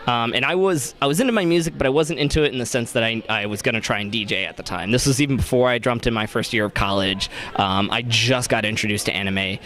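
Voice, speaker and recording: A man's voice: medium pitch, quick pace, hardly any pauses, a bit bright. A young man with a mid-range voice gives casual, conversational answers as the guest in an interview. He sounds like a DJ chatting informally about his own work. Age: young adult.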